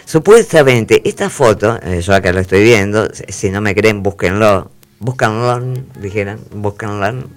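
A man's voice: fairly low pitch, expressive. A man hosting a radio talk show, telling news stories in a playful way full of asides. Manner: playful, drawn-out storytelling with asides. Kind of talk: chatty news segment.

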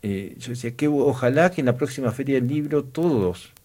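A man's voice: medium pitch, expressive, a little fast, a little mellow. A man from Santa Cruz, telling stories and talking passionately about local culture in a casual chat. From Santa Cruz, Argentina.

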